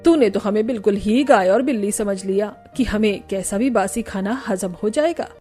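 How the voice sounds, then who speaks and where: A woman's voice: mid-range voice, normal speed, mellow, faint music behind, natural voice. A woman voicing a kindly but firm middle-aged mother-in-law in a family cartoon. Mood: reproachful. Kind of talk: acted dialogue in a moral family cartoon.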